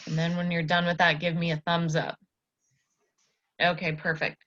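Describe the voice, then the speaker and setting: A woman's voice: lowish voice, level tone, moderate pace, a bit bright. A woman, a student teacher giving an encouraging one-to-one lesson to a child. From Iowa, United States. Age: early 20s. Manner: encouraging, praising. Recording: dull, echoey lo-fi room recording.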